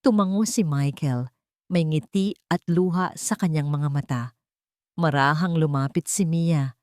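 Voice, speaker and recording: Female storyteller, lower register, close dry recording, scripted dramatic narration. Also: a little slow, a little mellow, dry recording.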